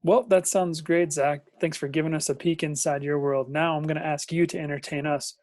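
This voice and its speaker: A man with a fairly high voice works as an interview host. His delivery is that of a presenter putting questions to a guest, and the sound is very dry, with no room echo. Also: fairly even intonation, fairly quick, darkish tone. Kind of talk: hosted interview.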